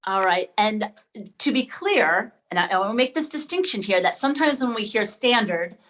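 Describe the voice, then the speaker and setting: A woman with a medium-pitched voice hosts an online meeting for educators. She presents conversationally, like a moderator opening things up to the group. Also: animated, normal speed, a bit bright. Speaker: host of an online session for educators.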